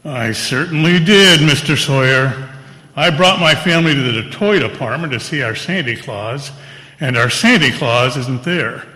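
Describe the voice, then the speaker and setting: Forceful, blustering radio-play boss. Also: male voice, medium pitch, expressive, unhurried. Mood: angry. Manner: blustering, commanding. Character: gruff, bossy, irate male department store owner. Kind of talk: old-time radio play acting. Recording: echoey hall with long reverb.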